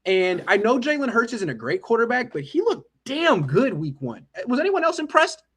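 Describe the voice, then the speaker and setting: A man's voice: very high-pitched, big pitch swings, moderate pace, fairly bright tone, husky. A man hosting a casual sports discussion, speaking in a pointed, questioning manner. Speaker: host of a fan conference call.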